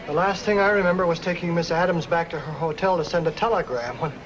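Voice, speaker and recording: A man's voice: a little high, quick pace, a crowd in the background, close to an everyday voice. A man acting as a fussy, petulant detective's sidekick in a 1950s film noir, always complaining. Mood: indignant. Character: whiny, fussy, hungry male detective's sidekick. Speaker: actor in a film. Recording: muffled, noisy old film soundtrack.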